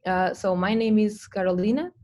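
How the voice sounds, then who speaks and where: A woman's voice: mid-range voice, moderate pace, darkish tone. A young adult woman from Portugal presenting an online design workshop over a video call, with frequent ums and restarts. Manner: hesitant, many ums and restarts.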